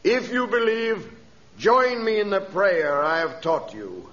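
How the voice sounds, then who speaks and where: An actor playing a gentle, devout missionary reverend with a solemn sermon delivery in 1930s radio drama style. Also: male voice, sits high, expressive, slow pace, exaggerated voice. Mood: prayerful. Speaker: missionary preacher. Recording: dull old radio transcription.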